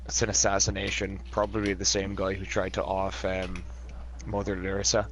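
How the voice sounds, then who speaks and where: A man playing his character in a tabletop game, calm and plainspoken. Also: sits low, normal speed, a little hiss or hum, rough recording. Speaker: player.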